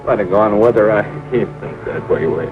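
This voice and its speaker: Man playing a low-voiced folksy old country storekeeper. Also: moderate pace, natural voice. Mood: concerned. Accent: rural Southern US. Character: kindly, folksy small-town storekeeper, older country man. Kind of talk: 1940s film comedy-drama acting. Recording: tinny, distant 1940s film soundtrack, music behind.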